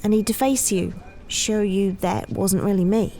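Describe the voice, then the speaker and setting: A woman's voice: mid-range voice, steady tone, moderate pace, warm tone, a little hiss or hum, lightly acted. A woman playing an earnest, guarded college student in an audio drama, remorseful but resolute.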